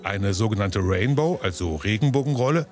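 Low-voiced male TV narrator. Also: lively intonation, brisk, darkish tone. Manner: smooth, descriptive delivery. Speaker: reporter, voice-over narrator. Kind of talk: scripted TV narration. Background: music.

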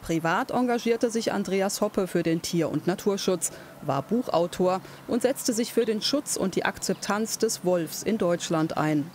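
Guy with highish voice reading a scripted tv bio piece. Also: a little slow, a little hiss or hum. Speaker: TV reporter. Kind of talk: scripted biographical narration.

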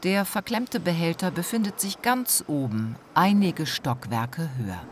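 A man reading scripted documentary narration in a calm, measured way. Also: a little high, slight background noise.

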